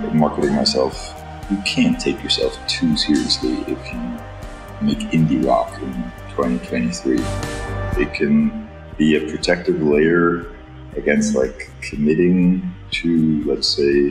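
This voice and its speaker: Swiss guy, super deep voice, thoughtful halting chat, roomy sound w guitar behind. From Switzerland. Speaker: singer-songwriter. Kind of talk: reflective, casual interview talk.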